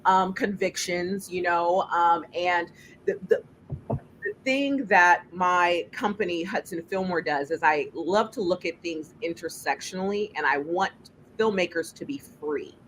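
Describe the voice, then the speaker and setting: A woman filmmaker with a deep voice and lots of bass, speaking emphatically in a panel discussion over a video call. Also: unhurried, slight background noise, poor audio. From United States. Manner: emphatic, animated, laughs while speaking. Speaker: filmmaker and juror.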